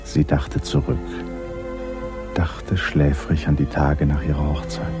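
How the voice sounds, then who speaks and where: A man narrating a literary radio drama in a measured, composed storytelling manner. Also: a bass voice, monotone, music in the background. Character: calm omniscient male narrator of a literary tale.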